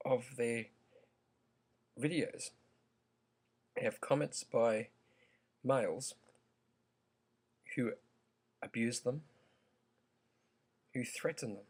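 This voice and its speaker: Slow, croaky man vlogging. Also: mid-range voice. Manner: slow, halting, earnest, with many ums. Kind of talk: opinion vlog.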